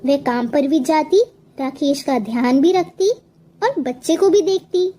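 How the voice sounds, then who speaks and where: A woman's voice: sits high, measured pace, warm tone. A woman narrating a scripted moral story as a storyteller.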